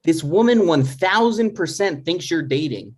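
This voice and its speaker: A man playing a skeptical grown son with a dry, halting delivery in a conversational comedy table read. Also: a little high, expressive. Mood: wry, fond.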